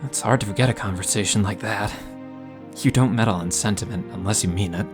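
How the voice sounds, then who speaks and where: A man's voice: lowish voice, brisk, over music, natural voice. A man playing an earnest, tentative young male mage in an audio drama. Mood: tentative, hurt. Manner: tentative, probing.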